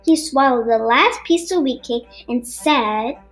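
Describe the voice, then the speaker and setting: High-pitched female storybook narrator over music. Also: unhurried. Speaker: storybook reader for a podcast. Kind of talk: scripted children's story narration. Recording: music behind the voice. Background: background music.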